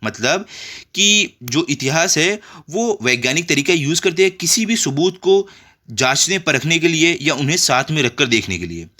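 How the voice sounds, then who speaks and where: A man's voice: on the high side, lively intonation, moderate pace, bright, forceful tone. A man with an Indian accent explaining a school lesson to children, instructively, with frequent rhetorical questions and check phrases. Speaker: school tutor. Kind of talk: explanatory lesson for children, reading textbook and translating.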